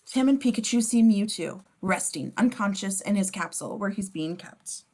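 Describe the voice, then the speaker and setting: Mid-pitched woman reading stage directions. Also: lively intonation, normal speed, a little mellow. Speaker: scene description reader.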